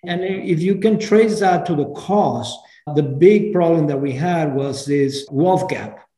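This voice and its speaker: A man's voice: a little high, takes it slowly, mellow, soft background music. A man from Venezuela, speaking earnestly as an interview guest and often restarting his phrases. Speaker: guest, program founder. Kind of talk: casual interview talk. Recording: roomy echo.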